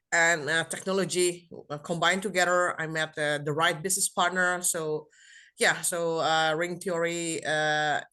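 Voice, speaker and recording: Halting woman, bright tone. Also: fairly low pitch. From Indonesia. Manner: halting, searching for words, lots of hesitation. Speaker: guest speaker. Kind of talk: self-introduction in an online session.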